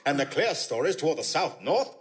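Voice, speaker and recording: An actor playing a mischievous jester posing as a pompous, mock-solemn curate, sermonising in a classical stage comedy. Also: male voice, on the high side, big pitch swings, fairly quick.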